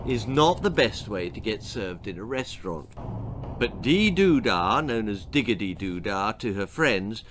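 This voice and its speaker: A male storyteller with a mid-pitched, highly animated voice narrates for children. He is theatrical and comic, leaning on words and pausing for effect. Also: a little hiss or hum. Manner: dramatic, comic, emphatic with pauses. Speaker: author reading their own story.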